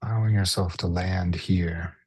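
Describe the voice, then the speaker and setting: Husky, breathy man guiding meditation. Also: sits low, steady tone, no room sound. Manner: gentle, unhurried, pausing between short phrases. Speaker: mindfulness meditation teacher. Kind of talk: guided body scan meditation.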